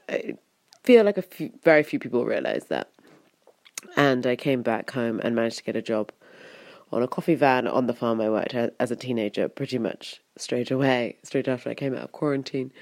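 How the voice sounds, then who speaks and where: A woman's voice: a bass voice, gravelly, a little mellow, no room sound. A woman, hosting a podcast in a casual, rambling, chatty way. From England, Wiltshire. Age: 30s. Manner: rambling, chatty, full of "kind of" and "like". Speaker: podcast host.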